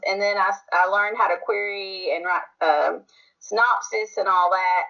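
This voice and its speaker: A woman's voice: mid-range voice, vocal fry. A woman being interviewed as an author, telling her story in a rambling, laughing, casual way. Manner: rambling, laughs often, fillers like "like". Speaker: author guest. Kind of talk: casual podcast chat. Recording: slightly dull lo-fi audio.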